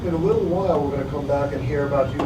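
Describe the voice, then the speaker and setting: Male talk show host, highish voice, casual, wisecracking, noisy echoey room. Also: very fast, far from the mic. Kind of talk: casual joking interview.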